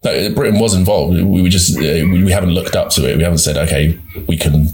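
A man's voice: low voice, brisk, a little mellow, a little hiss or hum. A man speaking as a panel participant in a reflective discussion, conversational and emphatic.

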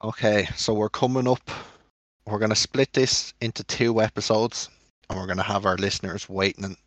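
Low-voiced young Irish man, dry. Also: level tone, moderate pace, no room sound. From Ireland. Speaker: podcast co-host leading the segments. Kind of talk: casual chat.

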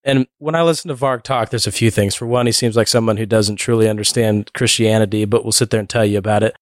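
Smooth-voiced man hosting a podcast. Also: fairly low pitch, fairly even intonation, fast, dry recording, clean studio sound. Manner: conversational, leads with questions, recaps others' points. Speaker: podcast host. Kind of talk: casual discussion.

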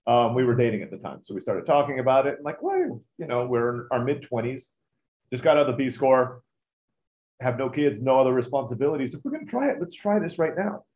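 A man's voice: mid-range voice, sing-song, fairly quick. A man in his forties, raised in Turkey, chatting easily as an interview guest with a self-deprecating manner, on an echoey, dull-sounding remote line. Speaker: guest, business owner. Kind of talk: casual interview talk.

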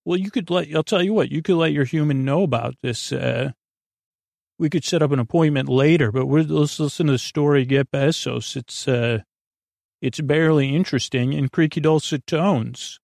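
A man playing a gentle, agreeable new brain bot who rambles hesitantly to soothe and distract. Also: on the high side, normal speed, creaky, no echo, clean studio sound. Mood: gently soothing.

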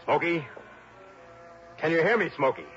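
An actor in a 1960s radio drama playing a tough but concerned insurance investigator, speaking in a clipped, direct way. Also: male voice, fairly high pitch, lively intonation, moderate pace, a bit bright, faint music behind. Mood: alarmed. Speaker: lead and narrator of detective serial. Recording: dull, noisy old radio recording.

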